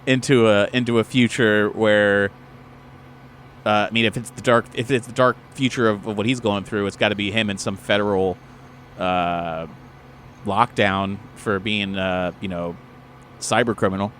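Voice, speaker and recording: A man playing a tabletop role-playing game, casually working out actions aloud in loose, halting phrases. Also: lowish voice, steady tone, fairly bright tone, slight background noise. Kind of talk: casual improvised play, describing own actions.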